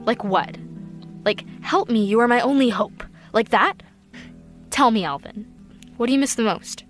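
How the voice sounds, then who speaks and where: A woman's voice: a little high, moderate pace, close to an everyday voice. An actress playing a hopeful female captain of a stranded spaceship in an audio drama, speaking in a coaxing, encouraging way. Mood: wistful. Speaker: voice actor. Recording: music behind the voice.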